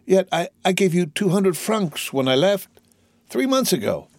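A man voicing an earnest, excitable young sailor and devoted son, in a dramatised classic audiobook. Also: medium pitch, normal speed, dry recording, pitched above the performer's own voice, lightly acted. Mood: dismayed. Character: eager, devoted twenty-year-old male sailor, loving son.

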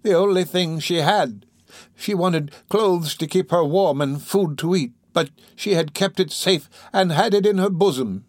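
A man's voice: sits high, measured pace, no echo, studio quality, voice pushed up. A man voicing a dying old pauper woman who rambles in guilt, groaning and agitated, in a storybook reading. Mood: agitated confession.

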